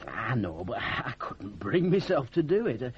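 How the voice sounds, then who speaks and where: An actor playing a humble, soft, bumbling grown son in a radio drama, with a hesitant, apologetic Northern English delivery. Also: male voice, medium pitch, big pitch swings, normal speed, breathy edge. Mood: flustered, meek. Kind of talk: 1980s radio drama acting. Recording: dull lo-fi old radio recording.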